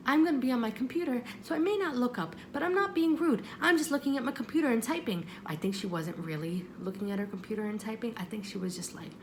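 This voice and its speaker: A woman vlogger telling a story casually in the first person, rambling and expressive, with self-deprecating, apologetic asides, on a homemade-sounding recording. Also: a little high, quick pace, hardly any pauses, slight background noise.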